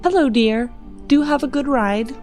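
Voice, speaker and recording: A woman's voice: high-pitched, moderate pace, a little mellow, faint music behind, voice pushed up, natural voice. A woman voicing a doting, easily wounded genteel mother in a tabletop game, coaxing and theatrical. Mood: politely sweet.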